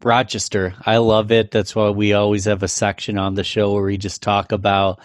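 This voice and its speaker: Guy hosting, lowish voice, dry close mic, friendly questions. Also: monotone, moderate pace, dry recording. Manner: friendly, encouraging, some stumbles. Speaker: podcast host. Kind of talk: interview questions.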